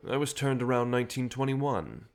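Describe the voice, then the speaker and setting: An actor playing a cheerful, self-assured, century-old vampire rebel leader in an audio drama, courteous and persuasive with a theatrical manner. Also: male voice, mid-range voice, animated, moderate pace, natural voice. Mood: earnest, proud. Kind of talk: scripted audio drama acting.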